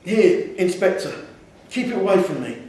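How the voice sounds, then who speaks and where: A man's voice: high-pitched, animated, moderate pace, mellow, pitched above the performer's own voice. Wheezy old smoker played by a male reader, grumbling, penitent, storybook character, distant in a reverberant theatre. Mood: penitent, pleading. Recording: echoey theatre hall, distant mic.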